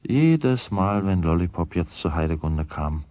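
An actor playing the storyteller, narrating a German children's radio play from a script. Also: male voice, sits low, very animated, a little slow, dark tone, dry recording. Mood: calm storytelling. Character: male storyteller of a children's tale.

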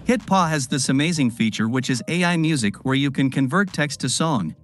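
Lively man narrating a software tutorial. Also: mid-range voice, brisk. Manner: instructive, lively intonation. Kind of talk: step-by-step screen tutorial voice-over.

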